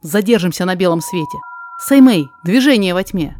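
Woman doing a bossy terse exorcist in a fight, lowish voice, noisy bg, verse audio drama. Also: lively intonation, moderate pace, lightly acted. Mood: commanding. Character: commanding onmyoji exorcist in battle, bold. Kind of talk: dramatic verse podfic reading.

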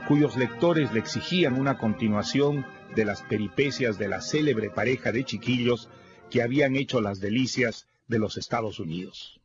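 A man reading a formal, scripted literary introduction in a measured way, as presenter of a radio program. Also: medium pitch. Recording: dull radio recording with faint music.

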